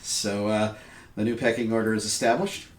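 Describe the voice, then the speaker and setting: A man's voice: fairly low pitch, steady tone, normal speed, a crowd in the background. Man game master in a roomy space. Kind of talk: casual narration of a tabletop horror game. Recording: roomy echo, faint noise and clicks.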